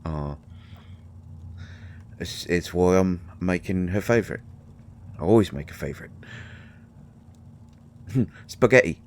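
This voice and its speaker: A man playing a tiny, gruff but shy and caring potion-brewing healer in an audio drama, with a mottled British accent and a halting, stammering delivery. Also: low-pitched, expressive. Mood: wistful, awkward. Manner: halting, stammering, mumbling asides. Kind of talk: intimate roleplay audio drama monologue.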